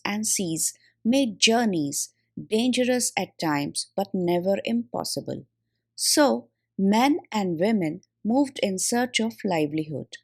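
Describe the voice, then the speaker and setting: A woman reading a school textbook aloud as scripted audiobook narration, in deliberate, measured phrases. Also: mid-range voice. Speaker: audiobook reader.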